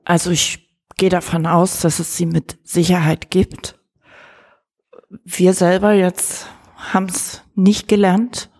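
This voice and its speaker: A woman volunteer answering a question in a thoughtful, measured way. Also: on the low side, little pitch movement, darkish tone. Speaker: volunteer helper.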